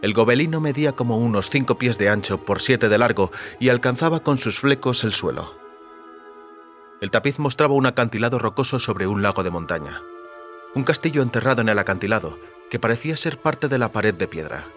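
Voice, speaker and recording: A man's voice: medium pitch, expressive, a little fast, fairly bright tone, faint music behind. A man reading scripted narration for a fantasy audiobook.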